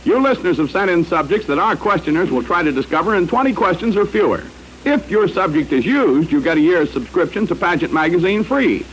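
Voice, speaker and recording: A man's voice: high voice, fairly bright tone, low-quality recording. A man hosting a 1940s radio panel quiz, explaining the game to listeners. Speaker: quiz show host. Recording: old radio broadcast, faint noise.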